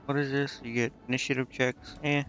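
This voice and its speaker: A man's voice: medium pitch, little pitch movement, brisk, a bit bright, highs cut off, soft background music. A man streaming a video game, thinking aloud in casual commentary and muttering indecisively to himself.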